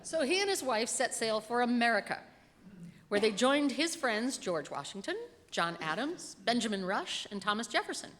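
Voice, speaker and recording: A middle-aged woman with a fairly high voice delivers a scripted sermon into a lectern microphone. Her phrasing is measured and emphatic, and the room around her leaves a long reverb on the words. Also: very animated, fairly bright tone, a little hiss or hum. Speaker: lay reader delivering a written sermon. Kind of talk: sermon read aloud from a script. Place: church pulpit in a large reverberant room. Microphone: gooseneck lectern microphone. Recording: reverberant hall sound.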